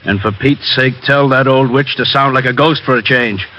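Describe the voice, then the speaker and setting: An actor playing a smooth con man posing as a doctor, soothing and sly. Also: mid-range voice, normal speed, sharp tone, a little hiss or hum, natural voice. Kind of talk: 1940s radio acting. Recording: muffled old radio broadcast with music.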